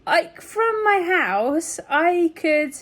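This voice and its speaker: A woman's voice: very high voice, very animated, extremely slow, fairly bright tone. A woman vlogger talking in a casual, rambling, chatty and giggly way, like a personal video diary. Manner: chatty, meandering, often giggly.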